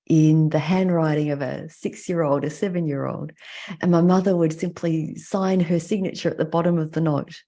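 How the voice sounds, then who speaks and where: Middle-aged woman, Australian accent, soft dark tone, breathy husk, deliberate phrasing, reflective personal talk. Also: low-pitched. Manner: measured, deliberate, pausing between phrases. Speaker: mental health clinician giving a talk.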